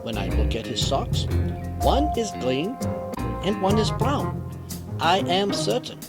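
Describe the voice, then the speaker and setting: An actor playing a courteous, shrewd, self-assured detective with a French accent, speaking precisely and formally in old-time radio mystery style. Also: male voice, sits high, wildly swooping pitch, takes it slowly, loud music behind the voice, exaggerated voice. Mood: genial, explaining. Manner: precise, formal, fond of rhetorical questions.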